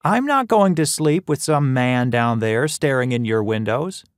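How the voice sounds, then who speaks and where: A man's voice: medium pitch, lively intonation, measured pace, no echo, studio quality, natural voice. A man voicing an anxious, insistent mother in her eighties in an audiobook. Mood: nervous, insistent. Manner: fretful, insistent. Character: anxious, insistent eighty-five-year-old mother, forgetful and fretful.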